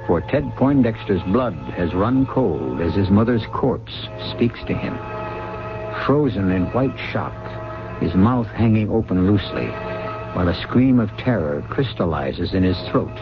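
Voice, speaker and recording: A man narrating a radio mystery as its host, grave, measured and theatrical. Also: lowish voice. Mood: ominous. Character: grave, ominous storyteller host of mystery tales. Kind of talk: dramatic scripted suspense narration. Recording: dull old radio broadcast. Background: dramatic music.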